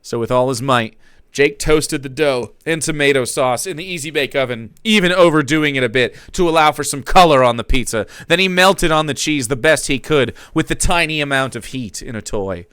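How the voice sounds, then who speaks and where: Brief: young male streamer, dramatic read-aloud, bright edgy voice, dry close sound. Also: mid-range voice, moderate pace, clean tone, dry recording. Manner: theatrical, breaks off to laugh and comment. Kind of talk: dramatic reading of fan fiction.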